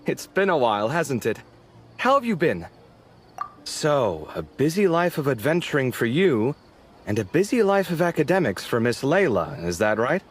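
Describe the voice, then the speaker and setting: An actor playing an animated, sociable young man who bickers sarcastically with his roommate, in a game cutscene. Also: mid-range voice, normal speed, faint music behind, natural voice. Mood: friendly. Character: expressive sociable young man architect, easily exasperated. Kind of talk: video game cutscene voice acting.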